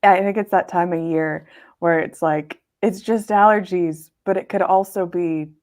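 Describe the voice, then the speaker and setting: Upbeat lower-voiced woman teacher. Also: fairly even intonation, moderate pace, a little mellow. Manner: upbeat, talkative. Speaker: kindergarten teacher and podcast co-host. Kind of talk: casual chat. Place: classroom.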